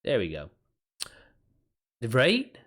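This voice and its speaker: Man, medium pitch, livestream host, casual chat, slangy, informal, sweary. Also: huge pitch swings, very slow, a bit bright. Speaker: livestream host, author taking writing questions. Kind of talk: casual livestream chat, reading and answering messages.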